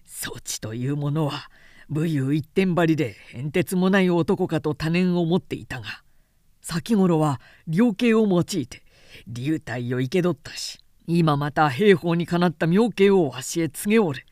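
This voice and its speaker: A woman voicing a calm, cautious male warlord with weighty, lordly, old-fashioned speech in an audiobook reading. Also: low voice, unhurried, no room sound, studio quality, voice pushed down. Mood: approving, commanding. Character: calm, cautious, dignified warlord, middle-aged man.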